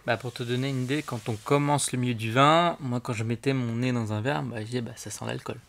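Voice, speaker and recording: A man's voice: mid-range voice, fairly even intonation, brisk, gravelly, a bit bright. A young man, a sommelier, explaining wine tasting in an enthusiastic, teaching manner during an interview. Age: young adult. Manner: enthusiastic and didactic, often speeds up. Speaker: sommelier guest.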